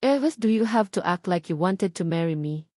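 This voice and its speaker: A woman's voice: fairly low pitch, animated, moderate pace, warm tone, no echo, natural voice. Woman playing furious jilted man, Filipino accent, harsh, accusing, domineering, audiobook drama, dry recording. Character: furious, cruel, betrayed man, domineering former groom.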